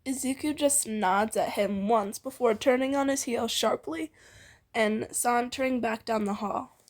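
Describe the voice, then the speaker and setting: A woman's voice: a little high, a little slow. A woman co-hosts a fan fiction reading, narrating playfully with giggly, teasing asides. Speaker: fan fiction reader and co-host. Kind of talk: playful co-read story narration with banter.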